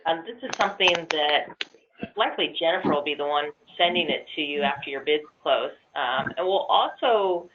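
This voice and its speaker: A woman's voice: low voice, lively intonation, normal speed, background noise. A woman co-presenting on a conference call, giving informal explanations. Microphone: conference call line. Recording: muffled narrow-band phone line.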